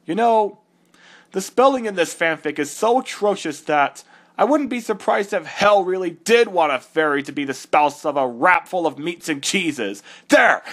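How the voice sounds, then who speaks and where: Man voicing an irritable high-pitched cartoon echidna. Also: animated, normal speed, fairly bright tone. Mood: exasperated. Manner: indignant, scornful, easily exasperated. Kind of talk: comedic dramatic reading with exasperated asides.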